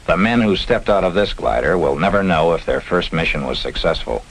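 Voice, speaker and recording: A man's voice: low voice, lively intonation, brisk, slight background noise, poor audio. A man narrating as a young American glider pilot, folksy and understated, in a scripted first-person account on a 1940s film soundtrack. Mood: reflective, understated. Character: young American glider pilot recalling D-Day, plain-spoken, proud. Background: loud music, aircraft and artillery.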